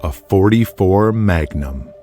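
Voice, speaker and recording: An American man delivers scripted, dramatic narration. His voice sits fairly low, with music clearly playing underneath. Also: lively intonation, takes it slowly.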